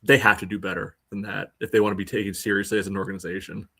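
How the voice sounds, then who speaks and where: A man's voice: deep voice, flat delivery, very fast, creaky, sharp tone, breathy edge. A man co-hosting a sports podcast, talking casually. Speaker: podcast co-host.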